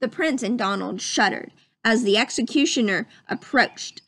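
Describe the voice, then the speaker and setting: A woman reading a children's story aloud as narrator, with expressive, dramatic storytelling. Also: on the high side, moderate pace. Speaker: storybook reader.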